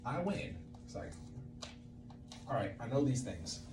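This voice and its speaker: A young man explaining in a casual, halting way, thinking aloud and often repeating and checking himself. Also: mid-range voice, big pitch swings, music in the background, poor audio. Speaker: creator teaching a creative method.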